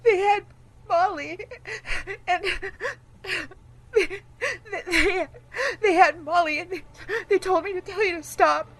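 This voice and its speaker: A woman playing a terrified, distraught partner in an audio drama, crying and halting as she repeats broken phrases. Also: very high-pitched, steady tone, full character voice, rough recording. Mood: terrified, sobbing.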